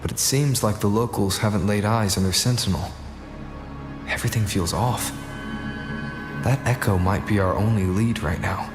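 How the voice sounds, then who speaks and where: A man's voice: lowish voice, normal speed, pauses often, close to an everyday voice. A man voicing the pensive inner thoughts of a wandering video game hero, echoing with long reverb over music. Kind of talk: video game character dialogue.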